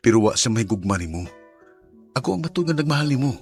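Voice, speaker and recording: Smooth-talking, insistent Visayan suitor; radio drama romance, mid-pitched and fast. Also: male voice, sing-song, darkish tone, soft background music. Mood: insistent, romantic. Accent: Visayan Filipino.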